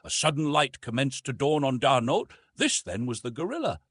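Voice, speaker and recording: A man's voice: mid-range voice, sing-song, normal speed, fairly bright tone, breathy edge, no echo, clean studio sound. A man reading an adventure novel aloud as a scripted audiobook narration.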